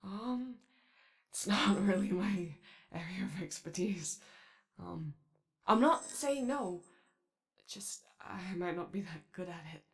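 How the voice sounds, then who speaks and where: An anxious, kind-hearted robot caretaker played by a woman rambles through a comforting ASMR roleplay. The voice swings up and down a lot and often drops into creaky fry, with hesitant stammers and nervous apologies. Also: on the low side, expressive, slow, pauses often, exaggerated voice. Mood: hesitant, uneasy. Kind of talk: ASMR character roleplay, soft conversational.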